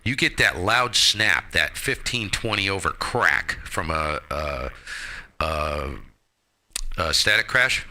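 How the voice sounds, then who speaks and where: A man's voice: on the low side, sharp tone. A man chatting casually as a ham radio operator, with an emphatic, slangy and colorful way of talking. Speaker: amateur radio operator and streamer.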